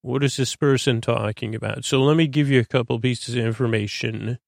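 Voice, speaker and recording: Creaky-voiced man rambling softly through a bedtime podcast. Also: mid-range voice, fairly even intonation, no echo, studio quality. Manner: meandering, halting, stammering, drifts off on tangents. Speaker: podcast host. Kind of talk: rambling bedtime-story podcast monologue to lull listeners to sleep.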